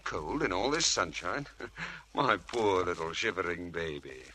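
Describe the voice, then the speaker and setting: An actor playing a smooth, charming, faintly sinister husband in a vintage radio drama, breezy and coaxing. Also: male voice, deep voice, lively intonation, a little slow, bright, forceful tone, full character voice. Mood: coaxing, tender. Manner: breezy, coaxing, fond of endearments. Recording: noisy old radio broadcast recording.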